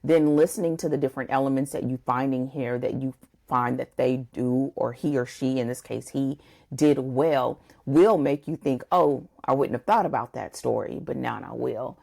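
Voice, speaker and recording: A woman's voice: rumbling low, normal speed, gravelly, darkish tone. A woman co-hosting a podcast, discussing a book conversationally and thinking aloud in a discursive way. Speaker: podcast co-host.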